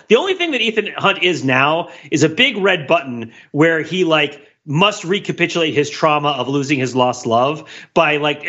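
Male podcast co-host, lively intonation, digressive, mock-exasperated, casual film talk, slightly muffled lo-fi audio. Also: fairly high pitch.

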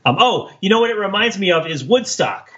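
A man's voice: high voice, sing-song, a little fast, pushed and bright, highs cut off. A man co-hosting a podcast in casual analytical discussion, talking discursively and often checking in with "right?". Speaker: podcast co-host.

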